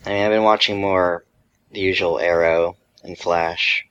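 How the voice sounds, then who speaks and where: A man's voice: low-pitched, fairly even intonation, a little slow, a bit bright, clear, resonant tone, dull audio. A man speaking as a podcast co-host in casual, informal conversation.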